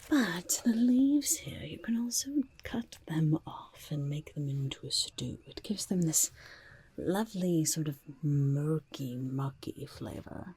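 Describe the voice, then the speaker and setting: A woman voicing a quirky, shifty goblin merchant in a whispered ASMR roleplay, breathy and crackly, with halting, drawn-out salesmanship and muttered asides. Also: low-pitched, lively intonation, a little mellow, heavily acted. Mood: gleeful sales pitch. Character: quirky shifty goblin merchant peddling grotesque wares, eager and defensive.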